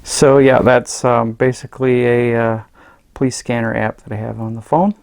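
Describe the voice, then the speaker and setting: Halting man giving a casual demo, dry sound. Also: medium pitch, fairly even intonation, vocal fry, a little mellow, dry recording. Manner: hesitant, halting, rambling. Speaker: gadget reviewer. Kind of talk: casual unscripted product demonstration.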